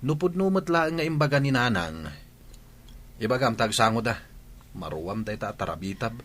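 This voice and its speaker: An actor with an Ilocano Filipino accent playing a stern husband and dutiful son in a comedy radio drama. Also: male voice, medium pitch, very animated, moderate pace, a bit bright. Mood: irritated.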